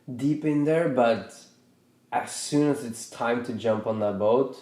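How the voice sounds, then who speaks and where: A man from Norway in his thirties, hosting a podcast in a reflective, casual way, pausing and restarting his sentences as he thinks aloud. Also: mid-range voice, warm tone, roomy sound. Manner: thoughtful, hesitant with many restarts. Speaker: podcast host.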